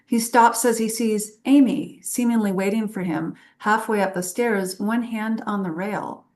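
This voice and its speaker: A woman's voice: mid-range voice, measured pace, darkish tone. A woman giving scripted narration, reading stage directions aloud at a table read.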